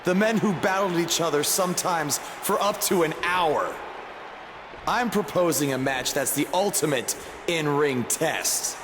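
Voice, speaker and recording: A man's voice: fairly high pitch, unhurried, close to an everyday voice. A man playing a brash, eager young pro wrestler giving a showy, emphatic promo in a video game. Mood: challenging. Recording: echoey arena hall, noisy ambience.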